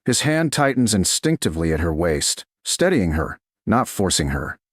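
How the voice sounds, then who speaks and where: Husky man narrating romance with dramatic intonation. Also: sits low, sing-song, normal speed, no echo, studio quality. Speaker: audiobook narrator.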